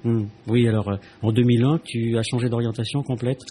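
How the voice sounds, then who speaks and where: A man hosting a live radio interview, talking in a relaxed, conversational way. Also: medium pitch, fairly quick, a little hiss or hum. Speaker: radio host.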